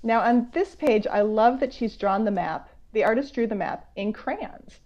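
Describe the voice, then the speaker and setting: A woman author reading a picture book aloud to children, going between slow measured reading and conversational explanatory asides. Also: on the high side. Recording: dull, lo-fi online call audio.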